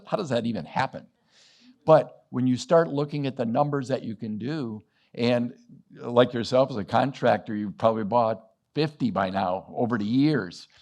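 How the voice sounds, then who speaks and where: A man's voice: medium pitch, a little mellow. Middle-aged man telling business stories. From Western Massachusetts. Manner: anecdotal, acts out dialogue, chuckles often. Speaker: business owner speaking at a summit. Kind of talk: storytelling keynote talk.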